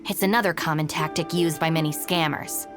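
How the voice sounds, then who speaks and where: A woman playing a practical, no-nonsense young shrine maiden in a video game, speaking in a brisk, matter-of-fact way. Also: sits low, steady tone, music in the background, natural voice.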